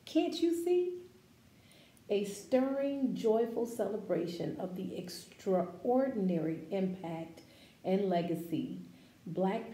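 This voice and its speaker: A woman reading a picture book aloud for children's story time, expressive and deliberate, with casual asides and questions to young listeners. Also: mid-range voice. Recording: roomy echo, faint background noise.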